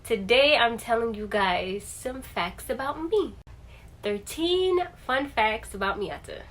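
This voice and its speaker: A woman of thirty with a bright, forceful, fairly high voice talks like a lifestyle vlogger. She is playful and animated, chatting casually and leaning on words and repeated phrases for emphasis. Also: slow. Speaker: lifestyle vlogger and channel host. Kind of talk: casual chatty vlog to camera.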